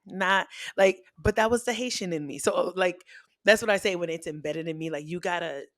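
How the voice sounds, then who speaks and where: A man in a casual podcast chat, talking in an animated, expressive and frank way, often restarting his sentences. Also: high-pitched, normal speed, no room sound, studio quality. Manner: frank and self-teasing. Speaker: guest podcaster.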